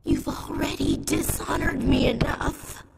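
A woman's voice: high voice, steady tone, unhurried, vocal fry, in a whisper, heavily acted. An actress playing a bloodthirsty young samurai schoolgirl assassin, intense and profane, in a video game. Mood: bitter. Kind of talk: dramatic video game voice acting. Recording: long reverb, music behind.

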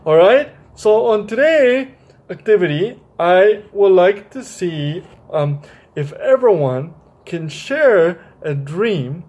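A young adult man hosting a children's story time, speaking haltingly and often repeating his phrases. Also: high-pitched, animated, darkish tone. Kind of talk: children's story time, read-aloud and chat to viewers. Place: small bright room indoors.

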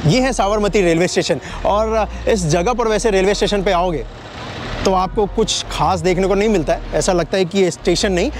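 A man's voice: high voice, normal speed. A man giving a chatty walking tour outdoors beside railway tracks, pointing things out and talking to his viewers as friends. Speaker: vlogger showing construction sites. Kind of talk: casual walking tour commentary.

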